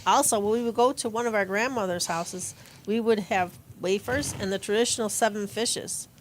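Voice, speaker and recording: A woman's voice: mid-range voice, moderate pace, poor audio. A woman reading in a conversational style as a performer in an audio play. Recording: faint background noise.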